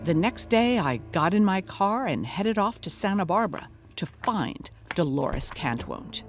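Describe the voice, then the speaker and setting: A woman's voice: medium pitch, sing-song, measured pace, soft background music. A woman playing a dogged, earnest podcast host in a scripted mock documentary, with a dramatic delivery. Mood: earnest, intrigued.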